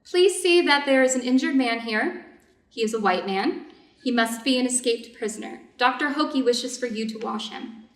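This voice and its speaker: A fairly high female voice carries from some distance across an echoey room. It is a woman acting a doctor's wife in a readers' theater drama, dutiful and anxious. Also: moderate pace, natural voice. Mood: firm.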